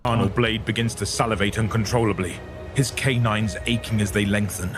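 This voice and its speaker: A man reading dramatic scripted narration for a dark audio story. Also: on the low side, steady tone, fairly quick. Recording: narration over loud music.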